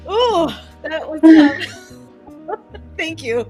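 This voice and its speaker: A woman's voice: high-pitched, animated, drawn-out words, warm tone, faint music behind. A young woman chatting casually as a cast member on a live stream. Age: young adult. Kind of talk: casual chat.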